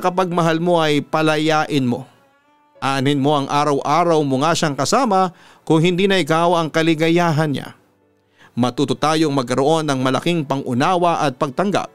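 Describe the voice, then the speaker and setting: A Filipino man reads a heartfelt story as host, in an earnest and measured way. His voice is clean and resonant, sitting a little high for a man. It is recorded close and dry, with music underneath. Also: smooth, no room sound. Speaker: radio announcer and story narrator, host. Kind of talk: dramatic reading of a listener's letter, sentimental narration.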